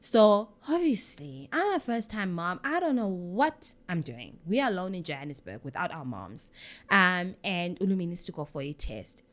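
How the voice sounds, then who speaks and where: South african woman, 30s, open and lively, tells her own story, slips into voices when quoting. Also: lowish voice, moderate pace. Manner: candid, animated, acts out quoted voices. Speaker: guest. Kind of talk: personal story in a podcast conversation.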